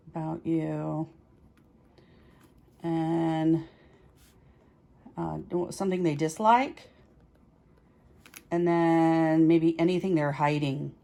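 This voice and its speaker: A woman with a slightly low-pitched voice hosts a casual tarot vlog. She speaks very slowly, explaining each step in an informal, chatty way and thinking aloud as she goes. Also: warm tone. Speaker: tarot reader hosting a practice series.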